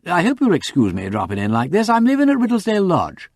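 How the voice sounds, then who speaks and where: Man playing a lively, affable upper-class English gent. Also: sits high, fast talker, dry recording, clean studio sound, pitched above the performer's own voice, heavily acted. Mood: breezy, polite. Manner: polite, breezy, rambling, nervously chatty.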